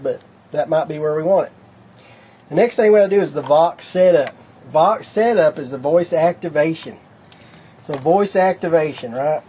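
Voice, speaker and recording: A man's voice: a little high, a little mellow. A man giving a casual how-to demonstration in a folksy, plain-spoken way, like a product demonstrator explaining things step by step.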